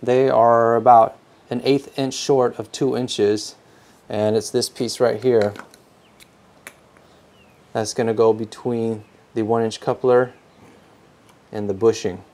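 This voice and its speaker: A man's voice: medium pitch, little pitch movement, slow, pauses often, a little mellow. A man giving scripted how-to narration as a DIY host, in a plain-spoken, instructional manner.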